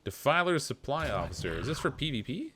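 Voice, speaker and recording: A man's voice: medium pitch, very animated, a little fast, fairly bright tone, slight background noise. A man streaming a game live, chatting casually, laughing often and answering his viewers as he plays. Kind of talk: casual live gameplay commentary.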